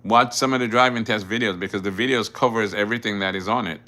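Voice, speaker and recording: A man's voice: on the low side, quick pace, sharp tone. A middle-aged man from Jamaica, a driving instructor, hosting a casual live Q&A where he reads viewer questions aloud and answers them plainly. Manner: repeats words and restarts often. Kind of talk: casual livestream Q&A.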